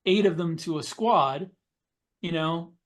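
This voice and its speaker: A man's voice: a little high, takes it slowly. An American man, a podcast co-host, talking casually in a discussion. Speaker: podcast co-host, military science fiction author.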